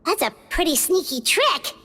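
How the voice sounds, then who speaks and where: A woman voicing a tiny childlike fairy companion in a video game, squeaky, perky, chatty and bluntly cheeky. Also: big pitch swings, moderate pace, bright, forceful tone, exaggerated voice. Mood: skeptical. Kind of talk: English video game voice acting. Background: game background music.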